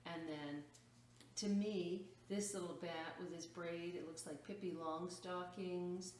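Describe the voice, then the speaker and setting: A woman's voice: lowish voice, fairly even intonation, slow, darkish tone. A woman reading a picture book aloud to children as a story time host, expressively drawing out words and adding chatty, explanatory asides. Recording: echoey room, distant mic, faint noise.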